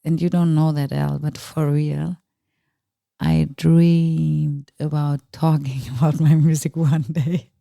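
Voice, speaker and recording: A woman from Turkey, a native Turkish speaker with a low voice, chatting excitedly as a podcast guest and laughing often. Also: slow pace, creaky, no echo, clean studio sound. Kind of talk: casual interview chat.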